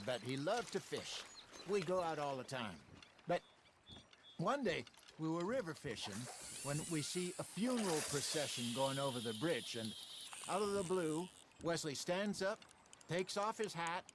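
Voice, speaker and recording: An actor playing a wry older male outlaw in a western game, a folksy, teasing fisherman and storyteller. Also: on the high side. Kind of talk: cinematic western game acting. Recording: clear outdoor ambience noise behind.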